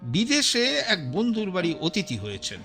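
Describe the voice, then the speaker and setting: An actor playing an older, learned man who muses about the afterlife, halting and repeating his words in a radio play. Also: high-pitched, huge pitch swings. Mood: musing. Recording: radio play with faint music.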